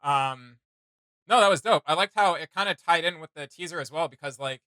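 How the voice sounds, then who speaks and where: A man's voice: fairly high pitch, fairly quick, pushed and bright, clean tone, no room sound. A young man hosting a casual reaction chat, enthusiastic and slangy. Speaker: reaction video host.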